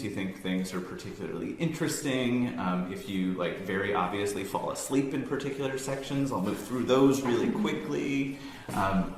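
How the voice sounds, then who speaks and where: American man, low voice, casual presenter with lots of ums, in an echoey room with a faint hum. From United States, near Boston. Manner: relaxed, conversational, many ums and self-corrections. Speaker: science organization staff giving a talk. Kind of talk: informal presentation to an audience. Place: room with an audience.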